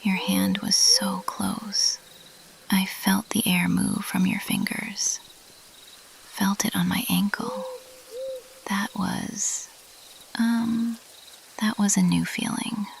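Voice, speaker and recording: A woman voicing a mischievous, playfully elusive bat monster girl in a teasing, intimate, breathy whisper for an ASMR roleplay. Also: mid-range voice, steady tone. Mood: flustered, coy. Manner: slow, teasing, intimate, lingering on pauses. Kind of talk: ASMR roleplay, addressed to the listener.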